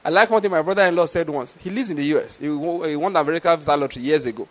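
A man's voice: on the high side, very fast. A middle-aged man preaching a sermon, telling stories and asking rhetorical questions. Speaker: pastor.

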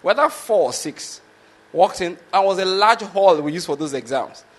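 A Nigerian man with a slightly higher-than-usual voice tells an easygoing story from his school days. He chuckles often and keeps checking in with the listener through rhetorical questions. His first language is Yoruba, and it shows in his accent. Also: animated, moderate pace. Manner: chuckling, rhetorical, often asks "you understand my point?". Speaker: medical lecturer. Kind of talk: casual storytelling monologue.

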